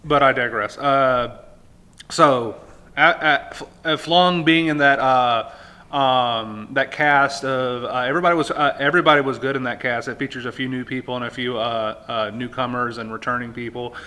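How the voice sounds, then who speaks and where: A man's voice: medium pitch, fairly even intonation, normal speed, sharp tone. A man hosting a community theatre podcast, chatting casually and rambling a bit. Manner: rambling, self-interrupting, chatty. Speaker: podcast host. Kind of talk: casual interview chat.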